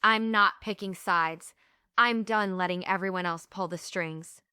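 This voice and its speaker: A wry, outspoken, world-weary heroine of a stage play, read by a woman. The voice is bright and very clear, sardonic in manner, with a dry, close sound. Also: medium pitch, measured pace, dry recording. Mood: fed up. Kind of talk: theatrical play table read.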